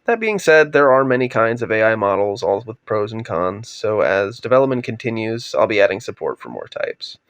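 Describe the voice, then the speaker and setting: Young man, raspy from a cold, gravelly, dry close mic, explanatory slide talk. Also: on the low side, normal speed, hardly any pauses, no room sound. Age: young adult. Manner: steady, explanatory, trails off at sentence ends. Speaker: undergraduate researcher presenting. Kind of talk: scripted slide presentation narration.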